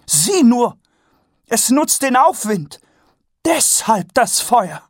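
A man voicing an excited noblewoman who is enraptured by dragons, in a raised, airy voice set back from the mic. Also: on the high side, a little slow, gravelly, slightly rough, dry recording, studio quality, pitched above the performer's own voice, exaggerated voice. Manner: excited, gushing, rapt. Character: noblewoman, eager dragon enthusiast, breathless.

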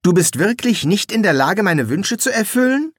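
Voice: mid-range voice, big pitch swings, normal speed, slightly rough, dry recording, clean studio sound, voice pushed up. A narrator voicing an eager, gullible young male demonologist who makes demands and then pleads wistfully. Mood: pleading. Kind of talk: audiobook reading.

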